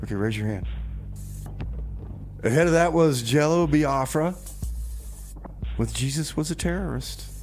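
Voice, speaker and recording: A man's voice: a little high, animated, measured pace, long pauses, darkish tone. A man hosting a community radio music show, chatting casually between songs and comedy bits. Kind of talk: casual on-air DJ chat.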